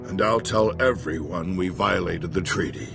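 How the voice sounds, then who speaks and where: A gruff old marshal in a sci-fi game. He speaks low and plainly, with weary honor, while music plays clearly behind him. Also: normal speed. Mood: weary, conceding. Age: older. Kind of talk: dramatic video game voice acting.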